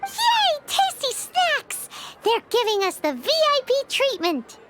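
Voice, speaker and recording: A woman voicing an excitable, childlike little fairy companion with a squeaky voice and exclamatory manner in a video game. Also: takes it slowly, a little hiss or hum, full character voice. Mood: delighted. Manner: refers to self in third person, exclamatory.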